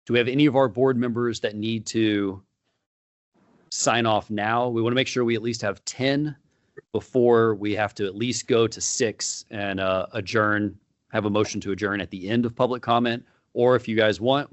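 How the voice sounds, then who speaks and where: A man's voice: fairly low pitch, no echo, lo-fi sound. A man chairing a board meeting, speaking informally with frequent fillers and restarts. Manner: informal, halting, with many fillers.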